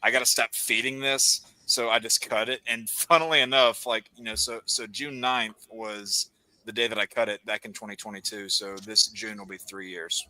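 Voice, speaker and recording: A man's voice: fairly low pitch, fairly even intonation, normal speed, pushed and bright, no room sound, low-quality recording. A man chatting casually on a group call, rambling and often restarting his sentences.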